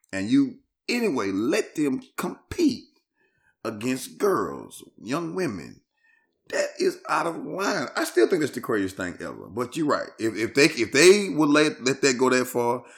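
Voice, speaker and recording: A man's voice: medium pitch, very animated, measured pace. A man co-hosting a podcast, bantering casually in slang with an incredulous, reactive manner. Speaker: podcast co-host.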